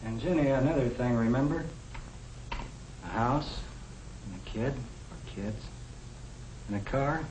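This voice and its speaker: An actor playing a practical young American husband, plain-spoken and reasoning, in the style of a 1950 educational film. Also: male voice, mid-range voice, expressive, measured pace, pauses often, darkish tone, natural voice. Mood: persuading. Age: young adult. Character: young professional husband, practical, matter-of-fact, budget-minded. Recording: echoey, noisy 1950 film soundtrack.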